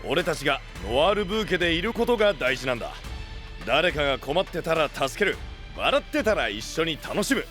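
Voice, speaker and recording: An actor playing a rough, hot-blooded, big-hearted young male knight leader with blunt slangy speech in an anime-style voice drama. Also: fairly high pitch, big pitch swings, brisk, a bit bright, soft background music. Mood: earnest.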